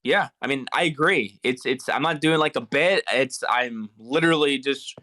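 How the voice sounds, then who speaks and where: A man's voice: medium pitch, normal speed, pushed and bright. A young man chatting on a video call as a guest, rambling and swearing, with lots of restarts. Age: young adult. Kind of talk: casual chat on a call.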